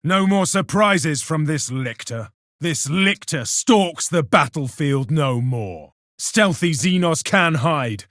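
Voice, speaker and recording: Casting: zealous armored space marine for combat game lines; higher-set voice, big pitch swings, proud, militant, scornful. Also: male voice, fairly bright tone, no echo, clean studio sound, heavily acted. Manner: declamatory, solemn, militant, commanding. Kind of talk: video game combat voice lines, theatrical declamation.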